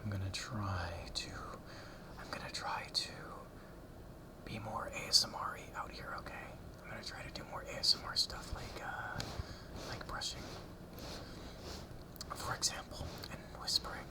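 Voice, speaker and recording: A man whispering a rambling ASMR talk close to the mic, softly repeating words as triggers, with ums and small nervous laughs. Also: on the low side, steady tone, normal speed. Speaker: ASMR creator. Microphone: close ASMR mic, brushed.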